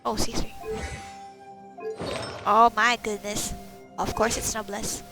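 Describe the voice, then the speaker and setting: A woman's voice: medium pitch, little pitch movement, slow pace. A woman giving casual, playful gameplay commentary, slangy and half talking to herself. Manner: playful, slangy, muttering to self. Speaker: gamer, streamer. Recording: game music behind voice. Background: video game music.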